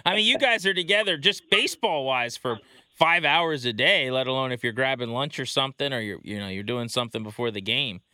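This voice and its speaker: A man's voice: mid-range voice, expressive, a little fast, bright, forceful tone, no echo. A man hosting a casual sports talk interview in a conversational way. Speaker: interview host.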